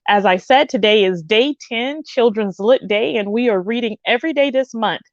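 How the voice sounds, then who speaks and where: A woman's voice: on the high side, normal speed, no echo. A woman hosting an online read-aloud event, making announcements with frequent ums. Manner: welcoming announcements with filler ums. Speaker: host. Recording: dull lo-fi sound.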